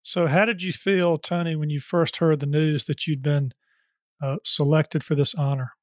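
A man's voice: on the high side, steady tone, normal speed, no echo. A man hosting a podcast, who talks loosely in a chatty way with many fillers. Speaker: podcast host. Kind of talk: podcast interview.

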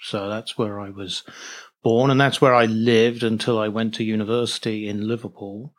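A middle-aged man with an English accent, answering casually as an interview guest, often halting to find his words. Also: lowish voice, fairly even intonation. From England.

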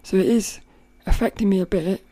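Woman, rough cracky voice, mellow and dry, rambling chat w/ lots of little laughs. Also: mid-range voice, normal speed, no echo. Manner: thoughtful, rambling, laughs often. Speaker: podcast host. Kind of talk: casual reflective monologue.

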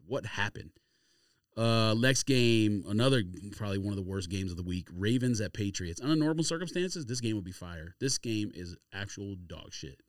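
A man's voice: fairly low pitch, expressive, moderate pace, no room sound, clean studio sound. A man hosting a casual sports podcast, talking in a blunt, opinionated, slangy way. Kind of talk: casual sports banter and game picks. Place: small room or studio, in person.

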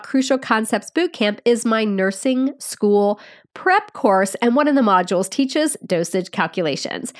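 A woman with a nasal, congested voice from a cold, teaching as a nursing podcast host in a conversational, friendly, explanatory way. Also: a little high.

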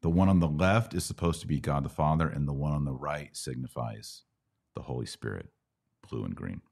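Very deep-voiced American man hosting. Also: fairly quick, creaky, darkish tone, slightly rough. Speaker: podcast host. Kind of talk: live Bible Q&A, casual teaching.

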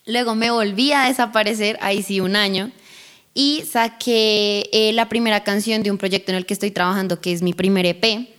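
A woman's voice: medium pitch, steady tone, moderate pace, fairly bright tone. Bubbly young woman with a Bogotá accent. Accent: Bogotá Spanish. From Colombia. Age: 20s. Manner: bubbly, laughing, rambling with fillers. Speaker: singer guest. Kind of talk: casual interview.

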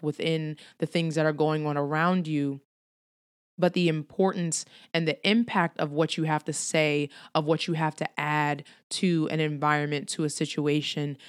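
A woman's voice: low-pitched, dry recording, studio quality. A woman hosting her own podcast, a little under the weather, speaking in an earnest, encouraging way and repeating phrases for emphasis. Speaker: podcast host. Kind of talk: solo podcast talk.

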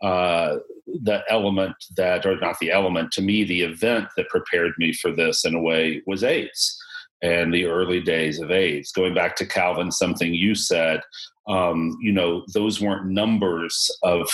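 A man in his fifties hosting a video-call chat, speaking reflectively with frequent pauses and questions to the group. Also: low-pitched, steady tone, a bit bright, rough recording. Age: 50s. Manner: reflective, asks the group questions, many ums. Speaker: podcast host.

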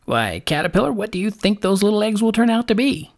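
A woman's voice: medium pitch, big pitch swings, quick pace, pitched above the performer's own voice. A woman voicing a saucy, confident male lark in a storybook, proclaiming emphatically in a singsong way. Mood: scolding. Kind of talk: storybook character voice.

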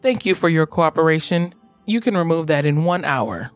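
An actress playing a professional female doctor, calm and matter-of-fact. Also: low-pitched, normal speed, soft background music. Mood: clinical. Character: brisk, professional female doctor taking blood tests.

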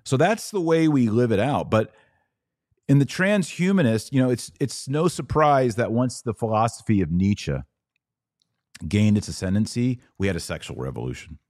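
A man's voice: mid-range voice, lively intonation, normal speed, darkish tone, dry recording, studio quality. A middle-aged man hosting a podcast, delivering religious commentary in an emphatic, preachy yet conversational way. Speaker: podcast host.

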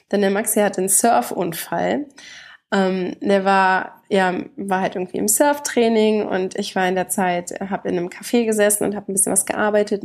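A woman's voice: mid-range voice, a little mellow. Woman with a stuffy, congested nose. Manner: chatty and rambling, with many restarts. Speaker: podcast host. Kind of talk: casual personal podcast chat. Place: home bedroom, sitting on a bed in the evening.

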